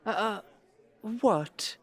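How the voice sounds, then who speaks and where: A woman's voice: mid-range voice, extremely slow, husky, exaggerated voice. A woman playing a cheerful, guileless and slightly naive host in an audio drama. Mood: sweet. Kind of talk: animated audio drama acting.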